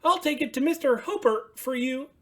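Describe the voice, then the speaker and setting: A cheerful male puppet buddy from a children's storybook, put on by a woman. The voice is raised and lively, brisk and encouraging, with a husky edge. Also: high-pitched, voice pushed up.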